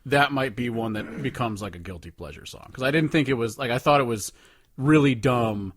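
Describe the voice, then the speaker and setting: A man's voice: medium pitch, a little fast, no echo, studio quality. A man co-hosting a podcast in casual conversation, opinionated and informal, swearing freely. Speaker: podcast co-host. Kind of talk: casual chat.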